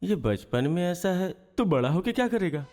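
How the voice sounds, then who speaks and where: A curious prisoner in an echoey hall. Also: male voice, high-pitched, very animated, moderate pace, a little mellow. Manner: curious, respectful. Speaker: fellow prisoner. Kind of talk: film dialogue.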